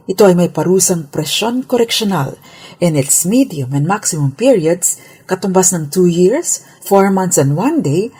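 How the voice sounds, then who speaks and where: A woman's voice: on the low side, dark tone, husky. A Filipino woman, a lawyer on radio, giving a scripted legal explainer in a formal, polite, explanatory manner.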